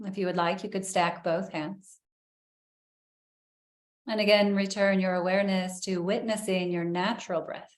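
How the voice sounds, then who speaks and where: A woman leading a guided breathing meditation in a soft, soothing way, with long pauses. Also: medium pitch, steady tone, echoey room.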